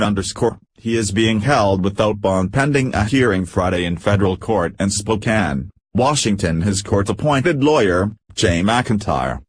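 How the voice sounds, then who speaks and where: Low-voiced man stiffly reading news. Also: breathy edge, no room sound. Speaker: news narrator. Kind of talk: scripted news narration, read verbatim.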